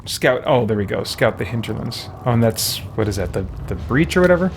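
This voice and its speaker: A man's voice: medium pitch, sing-song, a little fast, a little hiss or hum. A man hosting a let's play, thinking aloud and reading game text as he goes.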